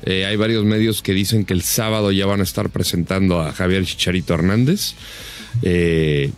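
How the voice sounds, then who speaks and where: A Mexican man on a sports podcast, giving measured, analytical football commentary with frequent "eh" fillers. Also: low voice, fairly even intonation, clear, resonant tone, soft background music. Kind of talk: casual football analysis chat.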